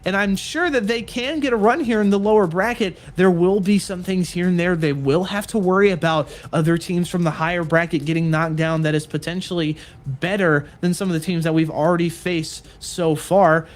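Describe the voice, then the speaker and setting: A man's voice: high voice, fairly even intonation, moderate pace. Upbeat young-sounding male esports caster. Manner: earnest, repetitive hype talk with fillers. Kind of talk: live esports commentary.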